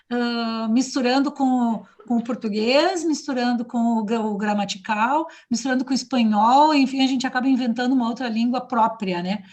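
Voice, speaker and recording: A woman's voice: on the high side, darkish tone. A woman musician talking at an online meeting, hesitant, with many fillers and restarts. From Flores da Cunha, southern Brazil. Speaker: musician and singing teacher. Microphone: video call.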